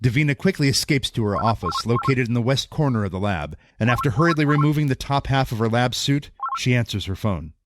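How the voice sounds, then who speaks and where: Male audio drama narrator, medium pitch. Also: expressive, a little fast, few pauses, background noise.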